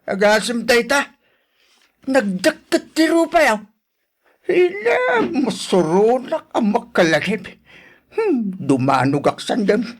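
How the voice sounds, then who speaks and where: A man's voice: extremely high pitch, very animated, slow pace, heavily acted. A man playing a jealous, stubborn middle-aged husband in an Ilocano radio drama, complaining with an Ilocano accent. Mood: jealous, angry.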